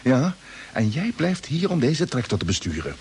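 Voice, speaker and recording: An actor playing a decisive space expedition commander giving orders in a radio drama. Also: male voice, mid-range voice, sing-song, normal speed, darkish tone, husky, heavily acted. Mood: determined. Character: commanding expedition leader Jeff. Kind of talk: 1950s radio drama acting.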